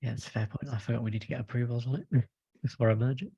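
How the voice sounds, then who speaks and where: A man with a husky, gravelly voice at a middle pitch runs a work call. He moves casually through agenda items, with a dry, close sound. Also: fairly even intonation, very fast, dark tone, no room sound, dull audio. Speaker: meeting chair. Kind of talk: casual meeting facilitation. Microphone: video call.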